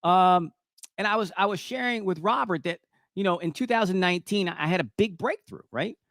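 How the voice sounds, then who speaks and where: Higher-pitched man in his fifties hosting. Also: fairly quick, creaky, fairly bright tone, dry recording, studio quality. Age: 50s. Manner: emphatic, repetitive, checks in with "right?". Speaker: show host.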